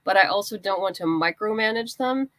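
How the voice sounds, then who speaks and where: A woman's voice: mid-range voice, brisk, fairly bright tone, no room sound. Woman guest telling anecdotes, dry close sound. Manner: informal, explains through anecdotes and quoted exchanges. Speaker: guest, writer and comics creator. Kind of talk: conversational interview answers, anecdotes.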